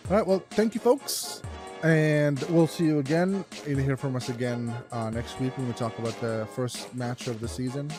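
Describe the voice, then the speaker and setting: A man from Morocco hosting a casual sports podcast, talking loudly and swearing, with frequent laughs. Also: medium pitch, fairly even intonation, moderate pace, music in the background. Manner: loud, profane, laughs often. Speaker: podcast host.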